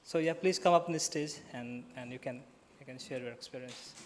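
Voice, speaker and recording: A man hosting an event, making informal stage announcements in a hesitant, halting way. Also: medium pitch, noisy background. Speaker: event host. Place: indoor event room. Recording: long echoing hall reverb.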